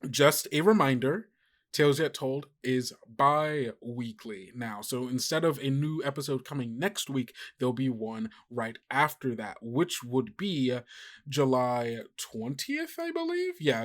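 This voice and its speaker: A man with a mid-pitched voice hosts a podcast. He talks informally and ramblingly, chatting his way through announcements as if to regular listeners. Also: fairly bright tone. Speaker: podcast host.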